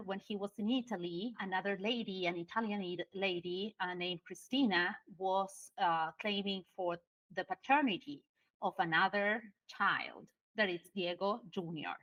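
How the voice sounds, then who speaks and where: A woman's voice: mid-range voice, a bit bright, poor audio. A woman from Argentina with an Argentine Spanish accent, a Spanish speaker explaining a story in English as a podcast guest, with many fillers and non-native grammar. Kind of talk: conversational explaining. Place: at home in Argentina.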